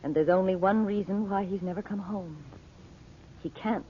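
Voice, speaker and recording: A woman's voice: medium pitch, moderate pace, slight background noise, lightly acted. Actress as worried mother, thin old-radio sound. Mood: troubled. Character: Dot, worried, earnest mother in an adventure serial. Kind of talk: 1940s radio drama acting. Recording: muffled, phone-like old radio broadcast recording.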